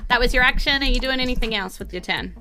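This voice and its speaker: A woman's voice: fairly high pitch, fast talker, pushed and bright, faint music behind. A woman running a tabletop role-playing game as game master, narrating scenes and rulings in an informal, casual way.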